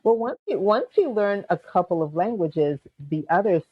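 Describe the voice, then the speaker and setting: A woman from Panama speaks with a slight Jamaican lilt and a husky, breathy voice. She explains things clearly and calmly in a casual interview, now and then with a light laugh. The recording is dry and a little dull, like a muffled call line. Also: mid-range voice, moderate pace, no echo. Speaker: guest, medical interpreting executive.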